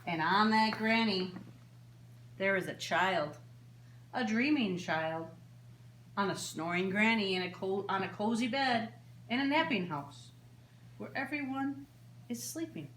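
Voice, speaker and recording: A woman's voice: medium pitch, fairly bright tone. Woman reading picture books in an echoey room. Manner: simple, child-directed talk with deliberate, repeated phrasing. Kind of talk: animated read-aloud story time for young children. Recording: roomy echo, faint background noise.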